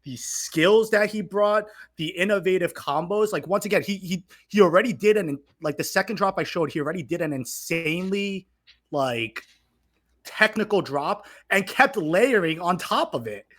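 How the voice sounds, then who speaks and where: A man's voice: high-pitched, expressive, moderate pace, a bit bright. A man speaking casually and emphatically on a podcast, giving opinions with repetition for stress. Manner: emphatic ranting with frequent repetition. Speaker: podcast guest.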